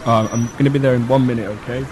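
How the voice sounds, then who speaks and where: A man from Manchester with a Mancunian accent, acting as a local guide and explaining in a relaxed way with lots of ums. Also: mid-range voice, quick pace, background noise. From Manchester, England. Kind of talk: casual explaining.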